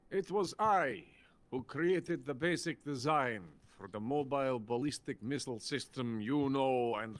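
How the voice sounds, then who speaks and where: An actor playing a drunken, pompous, bitter older Soviet weapons scientist in a video game. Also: male voice, medium pitch, a bit bright. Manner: pompous, boastful. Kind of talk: theatrical video game voice acting.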